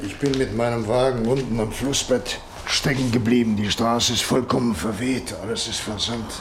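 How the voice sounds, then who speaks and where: An actor playing a practical, concerned country doctor in a German radio play, reasoning insistently and urgently. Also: male voice, medium pitch, steady tone, moderate pace, lightly acted. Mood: gruff, harried. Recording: noisy radio play with loud ambience.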